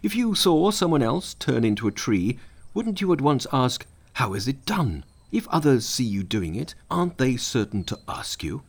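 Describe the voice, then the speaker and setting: A man voicing a stern, elderly male spiritual master in a storybook reading, scolding in a loud, deliberate way. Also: on the low side.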